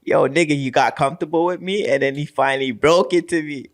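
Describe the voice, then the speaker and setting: A man chatting casually and telling an anecdote in a halting, repetitive, slangy way. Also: fairly high pitch. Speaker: podcast panelist.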